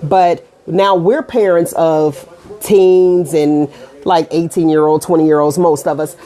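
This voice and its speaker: A middle-aged American woman hosting a casual online show, chatting to viewers in an animated, colloquial way with frequent asides. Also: low-pitched, slow pace. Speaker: online radio show host. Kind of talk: casual livestream talk to viewers.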